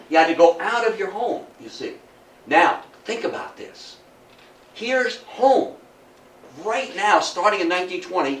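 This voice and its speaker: A man giving a live history talk to a room as an animated, folksy storyteller, with emphatic repetition, rhetorical questions and asides. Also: high-pitched. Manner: folksy, emphatic, repeats phrases for effect. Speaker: history lecturer. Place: indoor room, talk before an audience. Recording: roomy, distant mic, faint background noise.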